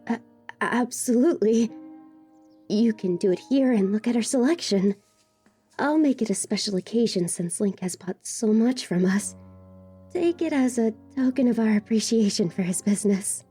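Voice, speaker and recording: A woman's voice: on the high side, lively intonation, moderate pace, dark tone, husky. A woman playing a timid, courteous village seamstress who stammers, in a fan audio drama. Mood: timid, helpful. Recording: music under the voice.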